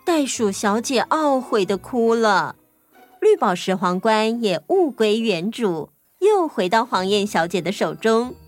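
A woman's voice: a little high, sing-song, measured pace. A woman reading scripted narration for a children's audio story. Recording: music under the voice.

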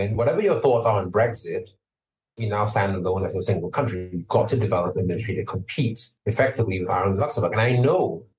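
Singapore-born guy giving long measured answers, lowish voice, sounds a bit far off the mic. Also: darkish tone. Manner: measured, explanatory, emphatic at times. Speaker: lawyer, interview guest. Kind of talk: interview answers.

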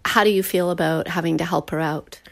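A woman's voice: fairly low pitch, fairly even intonation, a little fast, creaky, dry recording. A woman interviewing someone in a conversational, personal documentary style.

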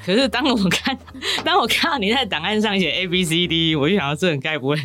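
A woman's voice: lowish voice, quick pace, sharp tone, faint music behind. A Taiwanese woman chatting casually as a podcast guest, talking in a chatty, self-deprecating way. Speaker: podcast guest, novel author. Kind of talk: casual chat.

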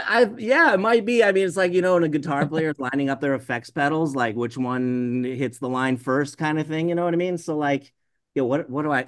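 A man's voice: mid-range voice, a bit bright, no echo, studio quality. A man from the Pacific Northwest, a brewer talking shop in a casual podcast chat, rambling with lots of "you know" fillers. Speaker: brewer guest.

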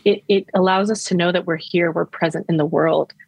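A woman's voice: fairly low pitch, fairly even intonation, fairly quick, vocal fry, a little mellow, husky, no echo, low-quality recording. A woman author being interviewed on the radio, speaking earnestly. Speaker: author guest.

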